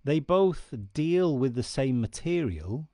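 A man's voice: on the high side, very animated, takes it slowly, dark tone, dry recording, clean studio sound. A man in his forties whose first language is Welsh, explaining Celtic myth to camera in an earnest way and often checking in with the listener. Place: dark room indoors. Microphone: studio mic on stand close in front.

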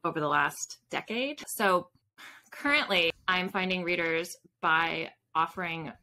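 A woman's voice: on the low side, measured pace, sharp tone, poor audio. A woman in her thirties giving casual, informal interview answers on a video call, often interrupting herself. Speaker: podcast guest, author. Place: home room with bookshelves.

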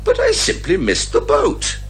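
A British actor playing a fussy, cautious old chum, a reluctant sidekick in a radio detective comedy, hesitant and old-fashioned in manner. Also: male voice, on the high side, wildly swooping pitch, measured pace. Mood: placating.

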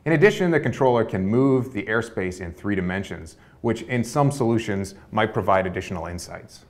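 Young male presenter, lowish pitch, clean structured demo read. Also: animated, fairly quick. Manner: fluent, orderly walkthrough. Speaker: researcher demonstrating a prototype. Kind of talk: product demo narration. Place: dark studio with display screens.

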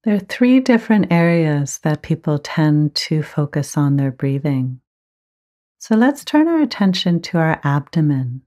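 Slow, low-voiced woman guiding meditation. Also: big pitch swings. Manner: gentle, measured instruction with frequent pauses. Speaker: meditation teacher. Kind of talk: guided meditation.

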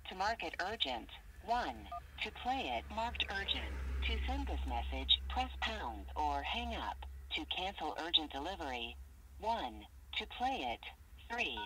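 A woman's voice: fairly low pitch, big pitch swings, measured pace, a bit bright. A woman's automated voicemail system voice reading scripted menu prompts in a formal, instructional way over the telephone. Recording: slightly noisy telephone voicemail recording.

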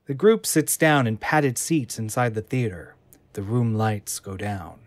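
A man narrating the scene descriptions of an audio drama in a plain, even reading. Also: fairly low pitch, measured pace, dry recording. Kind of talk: scripted narration of stage directions.

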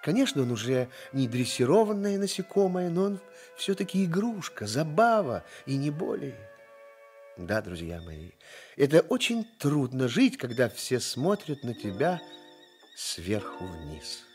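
Guy narrating a radio play, expressive confiding storyteller, swoops around a lot, soft music behind. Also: medium pitch, measured pace.

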